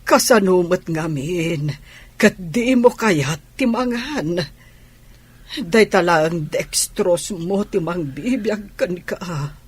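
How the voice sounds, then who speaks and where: A woman performs a distressed, devoted wife in an audio drama. Her lowish voice is husky and unhurried, full of care and anguish. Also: lively intonation, full character voice. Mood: pleading, tearful. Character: anguished, caring wife at a sickbed. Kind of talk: Ilocano audio drama acting.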